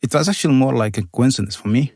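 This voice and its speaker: Gravelly-voiced man, Arabic native speaker. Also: mid-range voice, fast talker, a little mellow, no room sound, studio quality. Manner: careful, searching for words, slightly non-native phrasing. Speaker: university program coordinator. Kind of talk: conversational interview answers.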